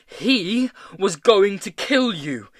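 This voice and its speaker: Indignant, loyal teenage boy, played by a male narrator: raised pitch, impassioned shouting, storybook adventure. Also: big pitch swings, takes it slowly, no echo, clean studio sound, full character voice. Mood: angry, hurt. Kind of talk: audiobook character acting.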